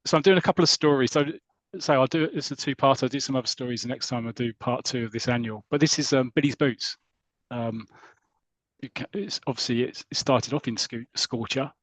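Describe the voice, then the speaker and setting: A man's voice: mid-range voice, a little fast, dry recording. Chatty man with a dry, close sound. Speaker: podcast host. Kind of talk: casual chat retelling comic stories.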